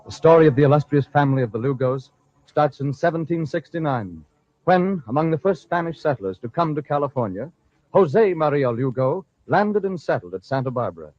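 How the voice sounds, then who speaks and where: A male radio storyteller of the old school, narrating with dramatic flourish. His voice is a little high and very lively in pitch. The recording is dull and lo-fi. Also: a little fast.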